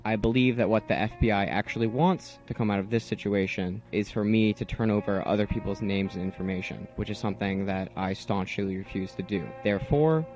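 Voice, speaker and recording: A man's voice: fairly low pitch, darkish tone, lo-fi sound. A man giving a prepared personal statement as an activist, on a recording.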